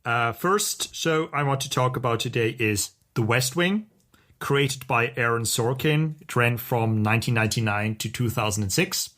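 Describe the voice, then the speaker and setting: A man co-hosting a podcast, reviewing things in a casual, rambling and halting way. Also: mid-range voice, fairly bright tone. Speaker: podcast co-host.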